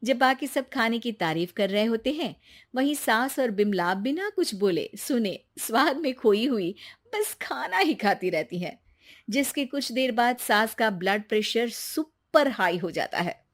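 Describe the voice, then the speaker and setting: A woman's voice: on the high side, expressive, unhurried. A woman narrating a scripted family story as its storyteller.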